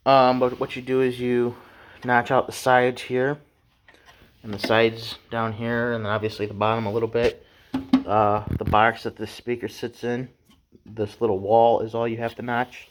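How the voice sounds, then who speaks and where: A man giving a casual, unscripted hands-on DIY tutorial in a laid-back, folksy way. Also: lowish voice. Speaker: DIY how-to presenter.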